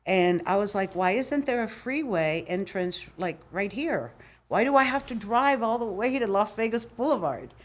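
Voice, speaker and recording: A woman's voice: mid-range voice, normal speed. An older woman from Cuba, a boisterous and opinionated guest telling stories in a casual podcast interview. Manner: boisterous, opinionated, animated storyteller. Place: podcast studio.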